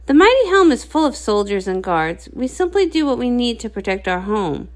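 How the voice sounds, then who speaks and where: A woman's voice: a little high, sing-song, moderate pace. A woman voicing a strong, easygoing male pony digger with a modest, friendly manner for a storybook. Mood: modest, earnest.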